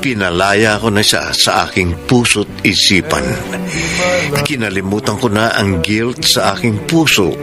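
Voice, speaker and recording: An actor with a Filipino accent playing a remorseful, lovelorn husband in a radio drama, earnest and emotional. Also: male voice, on the low side, a little slow, lightly acted. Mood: sorrowful, resolved. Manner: earnest, emotional, pleading delivery. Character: remorseful, lovelorn husband begging his wife to stay. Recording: music under the voice.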